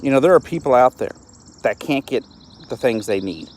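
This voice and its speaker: A man's voice: medium pitch, expressive, normal speed, a little mellow. A man, hosting a solo live stream and talking earnestly and with frustration, often stopping to restart his words. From East Texas, US. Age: 30s. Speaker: host of a live-stream show.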